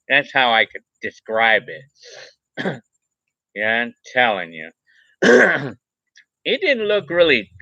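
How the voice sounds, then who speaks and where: A man's voice: medium pitch, bright, forceful tone, no room sound, lo-fi sound. A man in his forties on a casual livestream, reading viewer comments aloud and rambling in a repetitive way, restarting his phrases. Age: 40s. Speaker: livestream vlogger.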